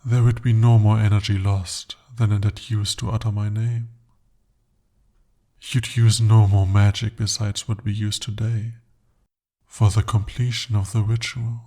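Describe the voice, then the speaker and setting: A man voicing a breathy, possessive bound demon. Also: lowish voice, flat delivery. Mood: possessive, insistent. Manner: intimate, soft-spoken, slow and deliberate. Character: jealous, possessive male demon bound to a sorcerer. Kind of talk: ASMR roleplay.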